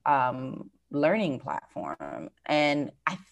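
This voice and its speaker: An American woman with a notably low voice speaks as a guest in an interview about running a business. She talks in a conversational, thoughtful way, pausing on fillers and restarting phrases. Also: wildly swooping pitch, vocal fry, background noise. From United States.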